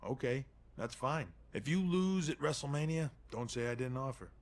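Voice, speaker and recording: A man playing a confident, scheming veteran pro wrestler in a video game cutscene, with a gravelly voice and a calculating, self-assured manner. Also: medium pitch, expressive, moderate pace, lightly acted. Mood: calculating, smug.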